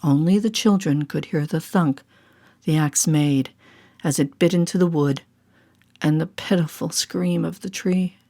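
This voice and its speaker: A woman reading a children's story in a theatrical, deliberate narrating style. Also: sits low, warm tone. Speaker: storyteller, voice actor.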